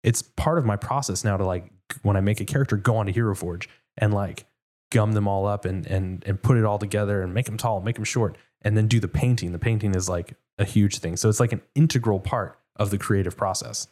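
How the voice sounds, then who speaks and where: Very low-voiced man from Texas, show host, rambling and casual. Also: brisk, darkish tone. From Houston, Texas. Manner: rambling, full of fillers. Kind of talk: casual interview chat.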